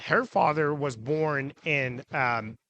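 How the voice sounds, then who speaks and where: A man's voice: on the high side, slow, fairly bright tone, muffled recording, a little hiss or hum. Chatty Iraqi-born man telling a family story. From Iraq. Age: 30s. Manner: chatty, explains with many asides and "right?". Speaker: guest storyteller. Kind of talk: casual storytelling interview. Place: outdoor farmers market.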